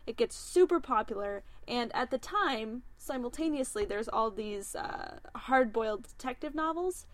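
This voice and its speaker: A woman's voice: fairly high pitch, animated, normal speed, no echo. Woman explaining film terms on a podcast. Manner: explanatory, laughs easily, hedging fillers. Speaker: podcast co-host. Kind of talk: casual podcast chat.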